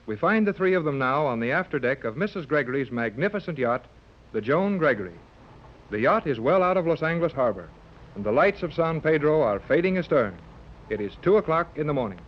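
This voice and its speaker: An actor as the earnest narrator of a 1930s radio adventure serial, reading scripted scene-setting narration. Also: male voice, on the high side, sing-song, fairly quick. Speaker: announcer. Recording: muffled 1930s radio transcription.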